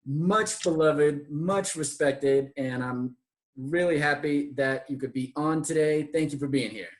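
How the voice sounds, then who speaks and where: Informal American man hosting, higher-pitched voice. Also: a little slow. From San Francisco Bay Area, US. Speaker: host. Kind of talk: casual video chat interview.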